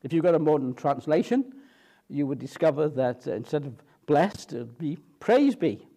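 A man preaching an evening sermon, teaching through a Bible passage in an earnest, explanatory way with frequent fillers and restarts, and slowing down when he reads scripture. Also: fairly high pitch, expressive, gravelly, mellow.

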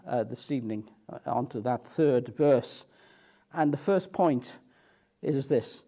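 A man preaching an evening sermon, teaching through a Bible passage in an earnest, explanatory way with frequent fillers and restarts, and slowing down when he reads scripture. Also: medium pitch, mellow.

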